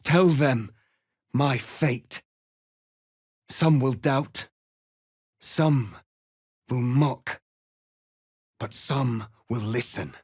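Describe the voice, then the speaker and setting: An actor playing a proud, righteous rebel warrior prince in a fantasy video game, speaking in a solemn, formal, declamatory way. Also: male voice, medium pitch, extremely slow, pauses often, a little mellow, slightly rough, no echo, studio quality. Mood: grave, resigned.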